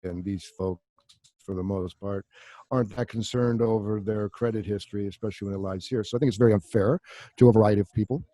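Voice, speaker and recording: A man in his fifties with a low voice, a guest actor chatting casually, rambling and joking with plenty of swearing, on a video call with a desk mic at home. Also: fairly even intonation, quick pace, warm tone, no room sound. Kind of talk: casual interview chat. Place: home room. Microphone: desk microphone on video call.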